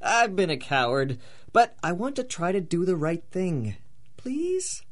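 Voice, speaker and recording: A timid young wrongdoer from a 1930s radio drama. He pleads hesitantly and full of remorse, his pitch rising and falling widely. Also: very animated, a little slow. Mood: remorseful, pleading.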